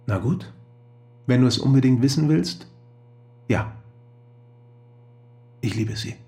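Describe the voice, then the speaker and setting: A man's voice: medium pitch, lively intonation, slow pace, creaky, mellow. An actor playing an earnest, persuasive male friend in a radio drama.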